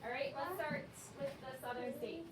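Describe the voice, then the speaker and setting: Woman, high voice, elementary teacher, questioning and affirming, roomy distant recording. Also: normal speed, crowd noise. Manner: affirming, repeats pupils' answers back. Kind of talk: interactive classroom lesson, questioning pupils. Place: school classroom. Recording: roomy, distant mic, clear background noise.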